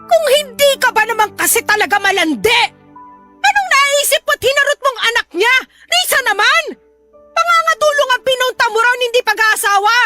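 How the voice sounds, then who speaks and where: Hard-drinking Filipino mother, blunt, scolding, piercingly high, radio drama, music underneath. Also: expressive, moderate pace, sharp tone, heavily acted. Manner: confrontational, blunt, berating. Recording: music behind the voice.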